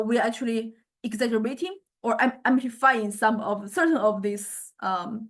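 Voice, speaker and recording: A woman gives an explanatory lecture as she demonstrates software. Her voice sits somewhat high. She speaks haltingly, repeating words and searching for the right term as she goes.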